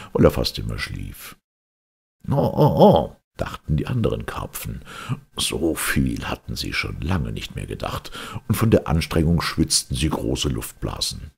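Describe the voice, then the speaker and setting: A man narrates a children's tale in a very deep, creaky voice. His delivery is slow and expressive, in a scripted storytelling style. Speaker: storybook reader.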